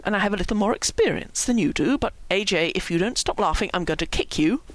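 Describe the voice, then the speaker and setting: A woman voicing a dignified, exasperated young man in a story, quiet, measured and pompous. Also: medium pitch, big pitch swings, no echo. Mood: wounded dignity.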